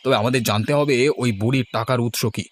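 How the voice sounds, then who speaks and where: A man's voice: medium pitch, steady tone, fast, natural voice. A man voicing a hard-pressed village farmer husband in a cartoon, grumbling and placating. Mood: scheming, resigned. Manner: protesting, placating. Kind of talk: Bengali cartoon dubbing.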